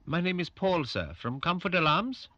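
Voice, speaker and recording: An actor playing a polite, sympathetic alarm operator in a radio drama, heard through an intercom speaker. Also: male voice, high-pitched, big pitch swings, moderate pace, bright, forceful tone, dry recording, muffled recording, exaggerated voice. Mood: helpful. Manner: polite, solicitous. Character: Paul, courteous, sympathetic male alarm-company call operator.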